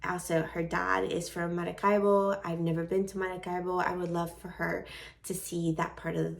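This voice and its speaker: Woman in her forties, light Venezuelan accent, earnest vlogger with a lowish, gravelly voice, a little off-mic. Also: flat delivery, moderate pace, far from the mic. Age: 40s. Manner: earnest, heartfelt, with soft laughs. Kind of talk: personal vlog talk to camera. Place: at her desk at home.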